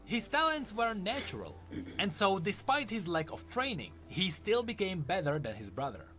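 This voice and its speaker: Higher-pitched man narrating with lively swoops. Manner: explanatory storytelling. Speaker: video essay narrator. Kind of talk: scripted lore narration.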